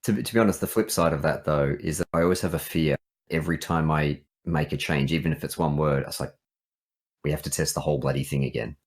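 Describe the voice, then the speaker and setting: A man's voice: extremely low pitch, steady tone, fast. An Australian man chatting casually as a game writer and developer, hesitant and thinking aloud. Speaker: visual novel writer and developer.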